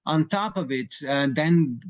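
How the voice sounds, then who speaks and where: A man's voice: a little high, lively intonation, a little slow, lo-fi sound. A man in his forties from Portugal, Portuguese native, speaking English with a Portuguese accent as a lawyer in a webinar discussion, hesitant with many fillers and restarts. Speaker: lawyer advising clients.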